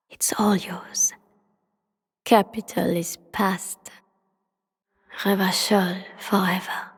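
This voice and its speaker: A woman voicing an ancient, solemn city spirit in a video game, intimate and prophetic, in a grave breathy whisper. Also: mid-range voice, heavily acted. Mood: hushed, prophetic. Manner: slow, incantatory, grave. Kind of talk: scripted video game voice acting. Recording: long cavernous reverb.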